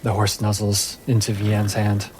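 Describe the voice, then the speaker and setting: A man's voice: on the low side, completely flat delivery, a little slow, darkish tone, a little hiss or hum, rough recording. A man narrating a fantasy tabletop game as its game master. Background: music under the voice.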